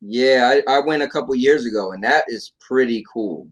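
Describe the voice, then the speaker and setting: A man's voice: mid-range voice, moderate pace, slightly rough. A man chatting casually as a panelist, rambling and repeating himself, often checking in with the listener. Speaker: talk show panelist. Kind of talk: casual chat about games and films.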